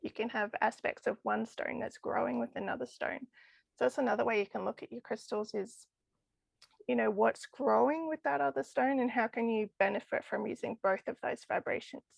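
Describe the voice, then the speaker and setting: A woman's voice: on the high side, fairly quick, gravelly, dry recording. A woman losing her voice, talking enthusiastically about crystal healing as a podcast guest. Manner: enthusiastic, chatty with frequent laughs. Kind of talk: casual podcast interview.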